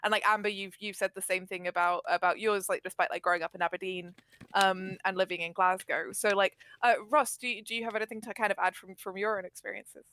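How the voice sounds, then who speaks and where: A woman's voice: mid-range voice, flat delivery, fast talker, a bit bright, no room sound. A woman hosting a live stream chat, asking guests questions in a thoughtful, filler-heavy way. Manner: thoughtful, asks questions, lots of filler words. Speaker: host.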